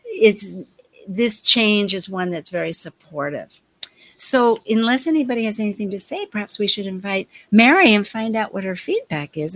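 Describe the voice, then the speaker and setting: A woman hosting a live discussion, explaining things conversationally in a measured way. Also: medium pitch. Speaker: host and astrologer.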